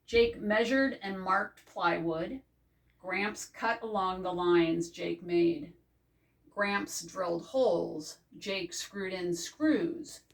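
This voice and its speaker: Slow-reading older woman, mic at a distance. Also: lowish voice, vocal fry. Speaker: librarian reading aloud. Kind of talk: children's picture book read-aloud. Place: indoors before a green backdrop. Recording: distant microphone.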